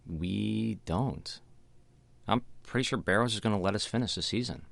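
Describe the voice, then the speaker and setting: An actor playing a chastened young theater manager in an audio drama, hesitant and halting. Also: male voice, lowish voice, vocal fry, fairly bright tone, dry recording. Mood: rueful.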